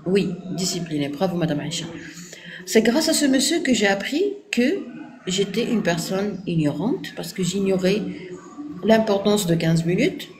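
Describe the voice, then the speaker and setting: A woman's voice: medium pitch, expressive, moderate pace, darkish tone, low-quality recording. A woman speaking French with an Arabic accent, Arabic as her first language, answering viewers in a firm, didactic live stream and checking agreement with "ok?". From Morocco. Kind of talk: casual live-stream talk.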